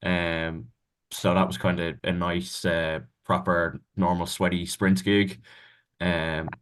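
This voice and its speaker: A man answering interview questions over a video call, relaxed and hesitant, with many ums and restarts. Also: low voice, slow. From Ireland. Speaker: band member, guest.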